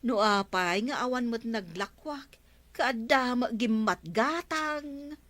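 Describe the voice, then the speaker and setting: A woman's voice: on the high side, slow, a bit bright. A woman playing a forgetful, flustered village storekeeper in an Ilocano radio comedy drama, hesitant and drawn out, with a Filipino Ilocano accent. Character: forgetful, flustered female village storekeeper who miscounts change.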